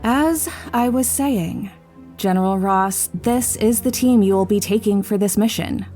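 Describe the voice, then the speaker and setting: A woman's voice: medium pitch, measured pace, mellow, soft background music, lightly acted. An actress playing a stern, commanding female military commander with a clipped, no-nonsense manner in an audio drama. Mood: businesslike.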